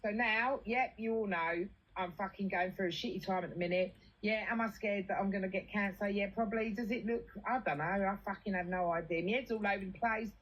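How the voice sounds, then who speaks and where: A woman's voice: mid-range voice, brisk, dull audio. A British woman chatting casually and venting in a blunt, sweary, colloquial way. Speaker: livestream host.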